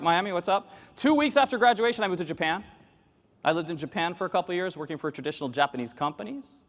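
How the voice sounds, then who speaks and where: A man in his forties giving a lively conference keynote, joking and quizzing the audience as he talks. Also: medium pitch. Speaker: keynote speaker. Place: large conference hall with audience at tables. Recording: long reverb of a large hall.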